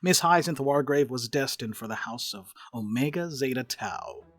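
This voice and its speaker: A man narrating a dramatic reading as a storyteller, with expressive, scripted delivery. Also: medium pitch, moderate pace, no room sound.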